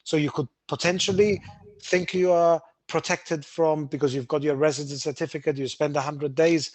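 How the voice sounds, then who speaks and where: A man's voice: a little high, dry recording. Emphatic man explaining tax rules. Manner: brisk, emphatic, with restarts. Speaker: webinar host, Gibraltar tax adviser. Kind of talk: explanatory webinar talk.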